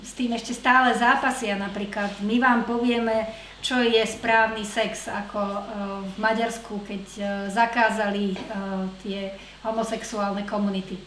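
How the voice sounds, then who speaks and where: A woman's voice: medium pitch, little pitch movement, fairly bright tone. Woman interpreting in an echoey hall. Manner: measured, hesitant with fillers while translating. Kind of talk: consecutive interpreting. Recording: echoey hall with faint noise.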